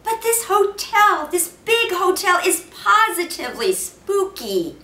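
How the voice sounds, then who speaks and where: Stubborn storybook dad, voiced by a woman, emphatic and animated, in a roomy space. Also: extremely high pitch, slow, pitched above the performer's own voice, exaggerated voice. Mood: insistent. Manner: firm, emphatic. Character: stubborn, tired father defending the hotel. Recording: roomy, distant mic with faint noise.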